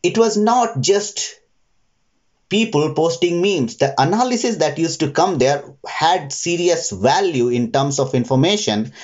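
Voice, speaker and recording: A man's voice: on the high side, lively intonation, a little slow, darkish tone. Man in a dull, muffled interview recording. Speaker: interview guest, retail trader. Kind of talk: reflective interview answers.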